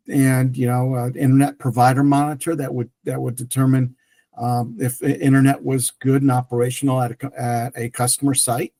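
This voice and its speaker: A man's voice: medium pitch, a little mellow, no room sound. Rambling middle-aged man, very dry sound. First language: French. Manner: rambling, many restarts and fillers. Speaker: guest, tech entrepreneur. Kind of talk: casual interview storytelling.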